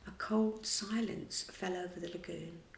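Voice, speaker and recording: A woman narrates a classic children's story at an unhurried, expressive pace. Her voice carries a gravelly grain. The recording rings with room echo. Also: lowish voice. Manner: slow, expressive reading. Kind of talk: storybook read-aloud.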